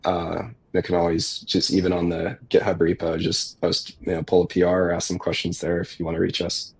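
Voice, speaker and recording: A man's voice: deep voice, completely flat delivery, fairly quick, creaky, husky. A man explaining a technical workflow over a video call, losing his voice, talking steadily with fillers. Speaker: security engineer guest.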